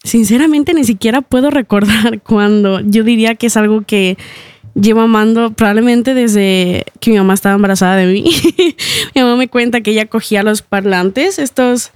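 A woman's voice: fairly high pitch, moderate pace, a little mellow, clean tone, no echo. A young woman from Ecuador who grew up in Spain, talking casually and chattily as a guest in a radio interview. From born in Ecuador, grew up in Spain from age eight. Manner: chatty, giggles often while talking. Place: radio studio, dry close sound.

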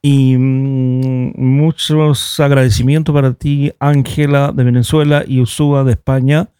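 A man's voice: medium pitch, flat delivery, unhurried, mellow, clean tone. A man hosting a radio show, interviewing guests conversationally at a studio microphone. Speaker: radio host.

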